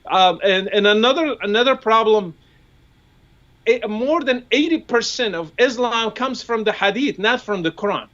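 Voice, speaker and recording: Arabic-accented man, high voice, muffled audio. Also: expressive, normal speed, a bit bright. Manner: repeats words while building points, asks rhetorical questions. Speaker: guest commentator. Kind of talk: discussion on a live show.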